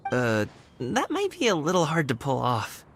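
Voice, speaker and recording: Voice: mid-range voice, wildly swooping pitch, moderate pace, soft background music. An actor voicing a reluctant, aloof but kindly youth in a video game, hesitant and sighing. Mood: reluctant, resigned.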